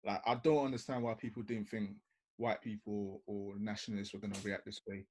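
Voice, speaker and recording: A man on a video-call panel, laying out his points one by one in a measured way. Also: lowish voice, flat delivery, darkish tone. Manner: measured, lays out points in order. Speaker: panel guest. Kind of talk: reasoned panel discussion.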